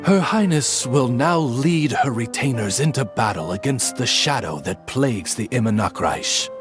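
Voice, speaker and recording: A man's voice: medium pitch, animated, normal speed, natural voice. A man voicing a formal, loyal courtly retainer in a game, speaking in a stately, dry manner. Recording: game music behind.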